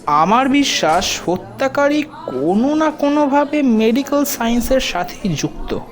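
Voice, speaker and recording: An actor playing a confident, helpful police officer in an audio detective drama, explaining in a measured way with English terms mixed in. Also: male voice, very high-pitched, expressive. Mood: serious, explanatory. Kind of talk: audio drama acting in Bengali detective story.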